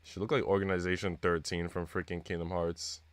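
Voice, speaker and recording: A man's voice: deep voice, little pitch movement, fairly quick, background noise. A man with a congested, sick voice livestreaming a visual novel, switching between reading narration aloud and slangy, rambling casual chat. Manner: informal, slangy, rambling asides. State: congested with a cold. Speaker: live streamer playing a visual novel.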